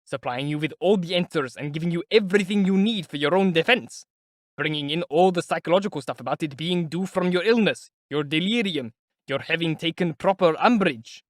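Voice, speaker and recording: A sly middle-aged investigator, jovial and sardonic, needling his suspect with polite mockery. A man reads him in an audiobook with a fairly high voice. The sound is dry and close. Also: a little fast, fairly bright tone, no echo. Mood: mocking. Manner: chatty, teasing, politely mocking. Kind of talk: audiobook character voice.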